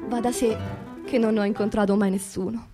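A woman's voice: mid-range voice, steady tone, fairly quick, warm tone, faint music behind. A woman with a Roman accent performing a colloquial, theatrical radio monologue, repeating words for emphasis. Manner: emphatic repetition of words and phrases. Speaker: stage actress performing her own monologue.

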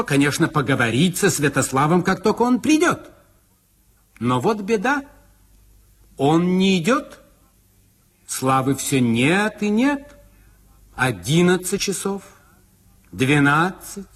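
A man narrating a radio play as a storyteller, husky and deliberate, building suspense with dramatic delivery. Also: fairly high pitch, full character voice. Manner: deliberate, suspenseful, rhetorical questions.

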